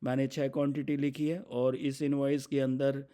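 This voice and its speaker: Mellow Indian man explaining step by step. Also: medium pitch, level tone, normal speed, smooth. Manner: instructional, mixes Hindi with English terms. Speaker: software demo presenter. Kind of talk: step-by-step screen demo narration.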